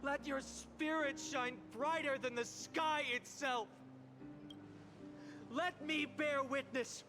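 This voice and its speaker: An actor playing a solemn young hero who addresses a mourning city in a measured, declamatory game oration. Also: male voice, very high-pitched, steady tone, over music. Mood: rousing. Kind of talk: dramatic game voice acting, public oration.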